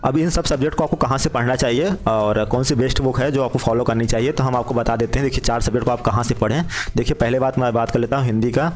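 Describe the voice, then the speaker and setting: Guy explaining stuff like a tutor, talks fast, repeats key points, music playing behind him. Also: medium pitch, steady tone. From India. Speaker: job exam guidance presenter. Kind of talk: informal explainer narration. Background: background music.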